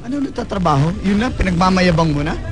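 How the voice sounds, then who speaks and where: An actor playing a boastful, dismissive ex-husband with a Filipino accent in a radio drama, argumentative and mocking. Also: male voice, high-pitched, animated, fast. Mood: defensive, scornful. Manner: argumentative, mocking, talks over others. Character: boastful, dismissive ex-husband doting on his girlfriend. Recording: music bed behind the voice.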